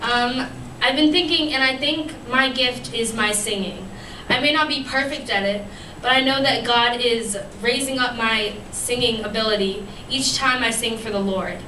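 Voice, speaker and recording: Young woman sharing a devotional at church, kinda high voice, lots of ums, echoey room and far from the mic. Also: moderate pace, bright, forceful tone, a little hiss or hum. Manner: earnest, many ums and restarts. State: recovering from a lost voice. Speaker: youth speaker at church fellowship.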